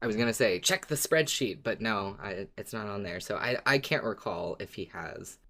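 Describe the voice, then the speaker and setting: A woman's voice: extremely low pitch, moderate pace. Woman podcast host, casual, laughs a lot, rambles a bit. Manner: relaxed, laughs often, rambling restarts.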